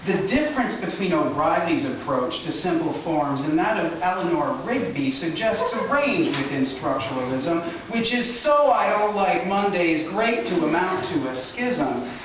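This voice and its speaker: Male poetry reader, higher-pitched, mock-scholarly delivery, dull distant live recording. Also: very animated, a little slow. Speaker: poetry performer. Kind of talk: scripted poetry reading, mock-academic lecture. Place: live reading in a gallery. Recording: echoey room, distant dull mic, clear background noise.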